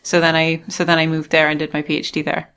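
Woman scientist, kinda low voice, eager, explains stuff, restarts a lot. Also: monotone, fast, fairly bright tone, a little hiss or hum. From Canada. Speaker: astrophysicist guest. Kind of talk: interview answers.

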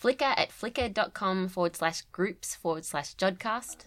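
A woman's voice: medium pitch, takes it slowly, a bit bright. A woman co-hosting a podcast, casually chatting and reading out listener mail. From Australia. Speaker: podcast co-host.

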